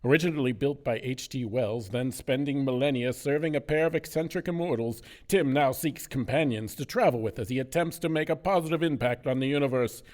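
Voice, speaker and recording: A man serves as announcer for a radio comedy drama. His voice is of average pitch. He delivers scripted narration to set up the story. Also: fairly quick, few pauses, darkish tone.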